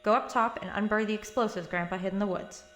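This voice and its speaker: A woman's voice: medium pitch, fast talker, a bit bright, lightly acted. An actress playing a determined, matter-of-fact young woman in an audio drama, dry and slightly exasperated. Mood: dry, resolute. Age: young adult. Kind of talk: naturalistic audio drama acting. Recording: roomy echo with music behind.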